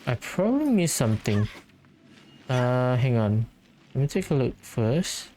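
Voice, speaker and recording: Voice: mid-range voice, sing-song, unhurried, mellow, a little hiss or hum, poor audio. A gamer doing casual commentary on their own playthrough, tentatively muttering and thinking aloud. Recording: game music under the voice. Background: video game music.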